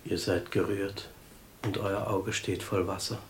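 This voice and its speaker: An actor playing a humble, pious, simple-hearted lay brother, meek and halting, in a 1950s radio play. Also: male voice, high-pitched, expressive. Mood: moved, hushed. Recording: roomy, noisy 1950s radio drama recording.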